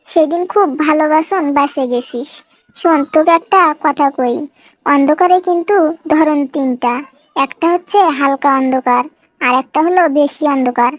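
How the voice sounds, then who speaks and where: A woman's voice: high voice, dry recording, close to an everyday voice. A woman voicing a haughty, bullying young daughter of a village chairman in a comic cartoon, with a northern Bangladeshi accent and a domineering manner. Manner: scolding, domineering, threatening.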